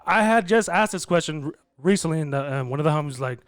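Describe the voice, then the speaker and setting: A man's voice: fairly high pitch, expressive, fast, no echo, clean studio sound. A man, hosting a casual podcast interview in a slangy, hyped way with plenty of swearing. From Inland Empire, California, United States. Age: 20s. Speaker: podcast host.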